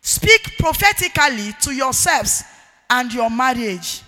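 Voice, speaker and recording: A middle-aged woman with a Nigerian accent and a loud, forceful voice, preaching a marriage sermon emphatically and repeating key phrases. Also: high-pitched, sing-song, measured pace. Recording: echoey hall with long reverb.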